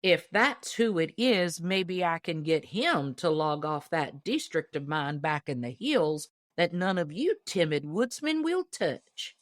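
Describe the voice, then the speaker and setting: A woman's voice: sits low, animated, unhurried, hardly any pauses, no room sound, studio quality. A woman playing a very old, shrewd country deacon in a storybook, piping and folksy in an old-timer's manner. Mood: shrewd, scornful. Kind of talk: storybook character voice in audiobook reading.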